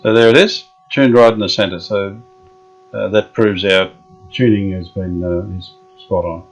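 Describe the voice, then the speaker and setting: A man's voice: lowish voice, fairly even intonation, soft background music, poor audio. Man tinkering and thinking aloud. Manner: thinks aloud while working, chatty and unhurried. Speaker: vintage radio restorer. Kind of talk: casual hands-on workshop narration.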